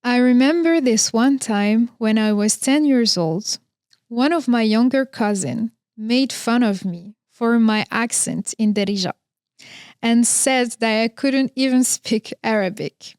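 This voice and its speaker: A woman in her thirties from Morocco, hosting a podcast and telling her own story in a slow, reflective, earnest monologue. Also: fairly high pitch, a little mellow, clear, resonant tone, no echo, clean studio sound. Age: 30s. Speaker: podcast host. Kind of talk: scripted personal monologue.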